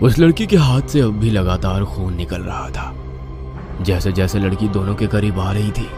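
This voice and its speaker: A man narrating a scripted horror story in a suspenseful, theatrical way. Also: on the low side.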